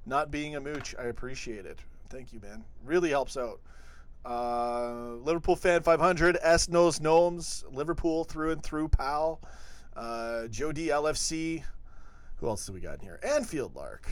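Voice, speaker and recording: A man's voice: fairly high pitch, expressive, unhurried, dry recording. A man, a young adult, hosting a casual live stream, laughing often and reading out names from the chat. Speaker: host.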